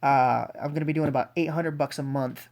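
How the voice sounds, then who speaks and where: A woman's voice: sits low, fairly even intonation, a little fast, darkish tone. A woman with a cold gives casual, enthusiastic vlog commentary as the host of an investing channel. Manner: enthusiastic, informal. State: congested with a cold.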